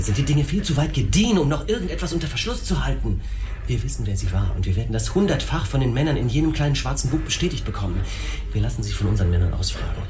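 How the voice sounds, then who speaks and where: An actor playing an ambitious, forceful prosecutor of thirty-six in a noir radio drama. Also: male voice, mid-range voice, lightly acted. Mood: insistent. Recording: music behind the voice. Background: music.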